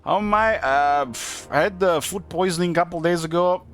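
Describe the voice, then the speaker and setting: A young man commenting on his strategy game play in a halting way, with many fillers and self-corrections, while losing his voice. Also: high voice, fairly bright tone, faint music behind. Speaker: game streamer. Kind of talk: casual gameplay commentary.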